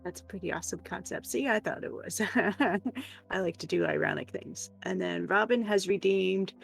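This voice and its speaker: A woman's voice: mid-range voice, normal speed, vocal fry, faint music behind. A woman chatting casually as a livestream co-host, joking and teasing. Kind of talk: casual chat.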